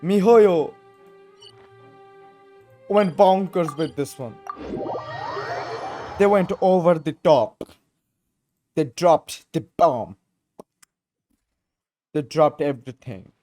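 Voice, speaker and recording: Slow-talking man reacting to a game. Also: a little high, mellow. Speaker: gamer reacting to a game story. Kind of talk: casual gameplay reaction commentary. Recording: game music behind the commentary.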